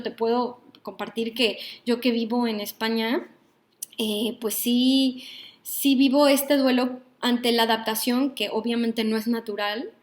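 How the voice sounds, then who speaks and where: Mexican woman, workshop lecturer, thoughtful, fairly high voice, checks in with listeners as she goes. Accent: Mexican Spanish. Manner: thoughtful, frequent "¿no?" tags and hesitations.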